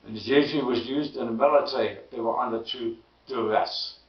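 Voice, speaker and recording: A hoarse man who stammers and repeats words, giving an emphatic casual political monologue. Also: fairly even intonation, fairly quick. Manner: emphatic, rising pitch when indignant. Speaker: political commentary vlogger. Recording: roomy distant lo-fi sound.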